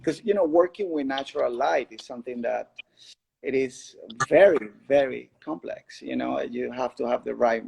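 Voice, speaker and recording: A man with a markedly creaky voice interviews in a casual style. He feels his way through questions, rephrasing them as he goes. The recording has clear noise and some clicks. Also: medium pitch, normal speed. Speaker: interviewer, gallery curator.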